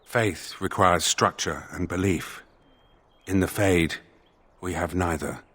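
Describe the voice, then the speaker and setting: Husky, solemn spirit of justice. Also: male voice, sits low, fairly even intonation, fairly bright tone, full character voice. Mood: pondering. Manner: formal, measured, philosophical. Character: solemn spirit of justice inhabiting a dead man's body. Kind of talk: video game dialogue.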